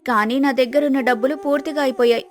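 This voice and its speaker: A woman playing a clever, patient young village wife, polite and persuasive, in an animated moral story. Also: sits high, racing, soft background music, natural voice. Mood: polite regret. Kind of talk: acted moral story dialogue.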